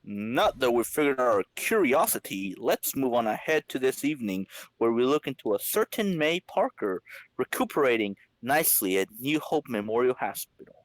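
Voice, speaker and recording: A man's voice: medium pitch, very animated, normal speed, poor audio. A man hosting a comedy podcast, switching between playful narration and casual banter. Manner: jokey, playful.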